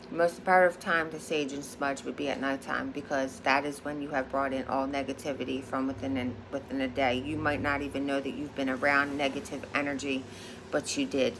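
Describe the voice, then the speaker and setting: A woman from Philadelphia with a Philadelphia accent, talking to camera in a casual vlog as a high priestess, emphatic and repeating her points with a frequent "okay?". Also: flat delivery, brisk, gravelly, background noise. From Philadelphia, United States.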